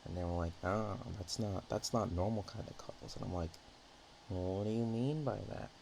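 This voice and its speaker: A man playing a naive, nervous, affectionate dragon in a roleplay ASMR, talking in a hesitant, rambling, halting way. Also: fairly low pitch, creaky, dark tone, a little hiss or hum. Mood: bashful, rambling.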